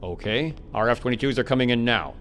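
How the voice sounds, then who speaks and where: A man's voice: mid-range voice, fast talker, fairly bright tone, a little hiss or hum, natural voice. A man voicing a ruthless male agency commander in a game cutscene, giving curt orders. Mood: cold, commanding. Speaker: commander of a rogue agency team.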